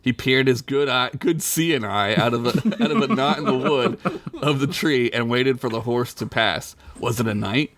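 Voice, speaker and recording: A man's voice: mid-range voice, normal speed, a bit bright. A young man narrating an improvised comedic story in a crude, self-amused way, often breaking into laughter.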